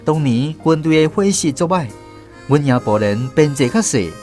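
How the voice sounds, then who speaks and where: A man's voice: fairly high pitch, animated, normal speed, darkish tone, soft background music. A man with a Taiwanese accent narrating a scripted first-person story as the narrator of a radio drama.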